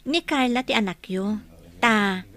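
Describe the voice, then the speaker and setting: A woman's voice: mid-range voice, a little slow, a bit bright, natural voice. A woman with an Ilocano accent playing a plucky, defensive young clerk who questions and talks back in a radio drama. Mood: confused, cheeky.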